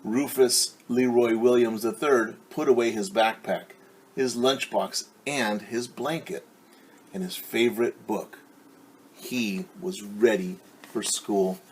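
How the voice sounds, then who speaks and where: A man's voice: lowish voice, fairly even intonation, slow pace, a little hiss or hum, rough recording. A man teaching young children at story time, reading aloud and explaining in simple, encouraging words with questions to his listeners.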